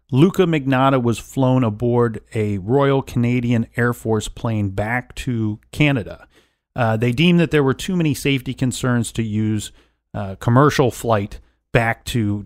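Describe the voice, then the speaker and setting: A man's voice: medium pitch, dry recording, clean studio sound. Laid-back man co-hosting a crime podcast. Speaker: podcast co-host. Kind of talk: casual true crime chat.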